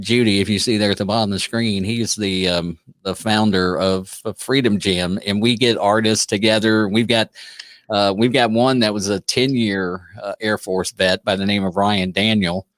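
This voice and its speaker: A man with a lowish voice hosts a relaxed interview and rambles through his thoughts, with plenty of fillers and false starts. The recording is dry and close, with no room sound. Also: no room sound. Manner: rambling, many fillers and restarts. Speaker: show host. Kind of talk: casual interview chat.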